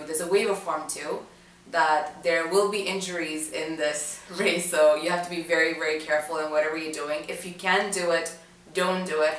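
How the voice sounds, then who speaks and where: A woman's voice: sits low, a bit bright, echoey room, distant mic, rough recording. A young woman from Dubai, a student, chatting about an event she took part in, with many fillers and restarts. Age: young adult. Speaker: student interviewee. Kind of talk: casual recollection to camera.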